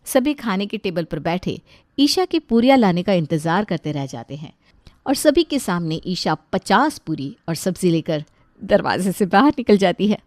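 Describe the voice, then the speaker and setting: A woman's voice: on the low side, big pitch swings, normal speed, darkish tone, dry recording, clean studio sound. A woman telling a scripted family moral story as its narrator.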